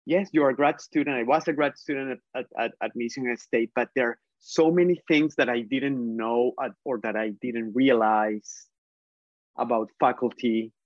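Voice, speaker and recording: A man speaks English with a Colombian Spanish accent, Spanish being his first language. He answers at an unhurried, reflective pace, often pausing with fillers and restarting phrases. The audio is somewhat dull and lo-fi, with no room sound at all. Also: medium pitch, steady tone, no room sound. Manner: thoughtful, hesitant, many restarts and fillers. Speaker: professor, guest. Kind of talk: conversational interview answers.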